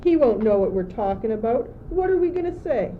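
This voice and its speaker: A woman's voice: on the high side, big pitch swings, a little fast. Teenage girl acting fretful courtier, muffled noisy recording. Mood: defiant, fretful. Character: gloomy, confused, doubting young courtier, drifting and fretful. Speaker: student actor. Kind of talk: amateur school radio play.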